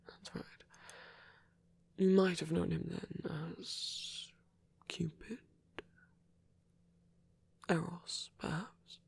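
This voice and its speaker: A man playing a gentle, shy vampire priest in an intimate ASMR roleplay, speaking in a husky half-whisper with a hesitant, apologetic manner. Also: high-pitched, steady tone. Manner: hesitant, halting, apologetic, rambling.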